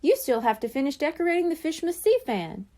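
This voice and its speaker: A busy mother cat from a storybook who talks briskly, her voice bright and lively. A woman reads her aloud. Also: sits high, pitched above the performer's own voice.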